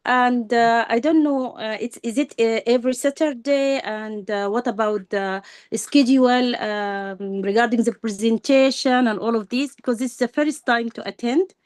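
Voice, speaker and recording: A woman's voice: a little high, fairly even intonation, moderate pace, hardly any pauses, dry recording. A woman from Sudan, new to the meeting, politely asking questions over a video call with some hesitation. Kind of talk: casual question in an online meeting.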